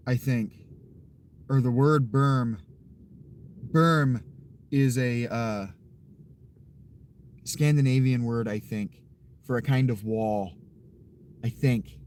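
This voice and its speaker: A man's voice: mid-range voice, a little mellow, slight background noise. A man streaming and chatting with viewers in a joking, rambling way, swearing freely. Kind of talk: casual chat.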